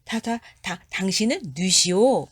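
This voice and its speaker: A woman's voice: medium pitch, expressive, takes it slowly, husky. A woman voicing a panicked male magistrate in a storybook tale, stammering and begging desperately for his life.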